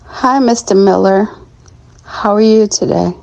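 A woman playing a polite, evasive young woman courier in an audio drama, speaking in a hesitant, halting way. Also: mid-range voice, vocal fry, lo-fi sound, background noise. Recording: music behind the voice.

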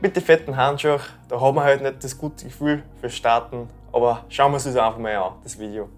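A man's voice: mid-range voice, quick pace, music in the background. A young man in his twenties with an Austrian German accent, casually talking through his own paragliding clips from a small room indoors. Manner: relaxed, dialect-tinged, talks through clips. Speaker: paragliding YouTuber commenting clips. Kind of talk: casual commentary.

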